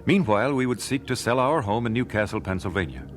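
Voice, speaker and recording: A man's voice: fairly low pitch, animated, quick pace, faint music behind, natural voice. An actor playing an earnest, devout 19th-century family man, reflective, in a radio drama. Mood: resolved, narrating. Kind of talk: radio drama narration and dialogue.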